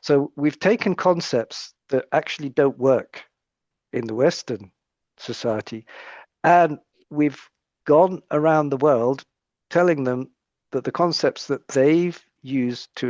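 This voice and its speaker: A man's voice: medium pitch, darkish tone, dry recording, muffled recording. A British man speaking as a psychiatrist guest in an interview conversation, explaining his points in a thoughtful way and often restarting his phrases.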